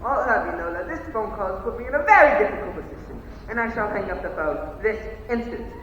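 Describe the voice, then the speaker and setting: A teenage student actor playing a blustering, indignant upper-class gentleman in a melodramatic stage farce rehearsal. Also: sits high, sing-song, quick pace, highs cut off, background noise. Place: large indoor high school rehearsal space. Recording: very echoey hall, distant mic.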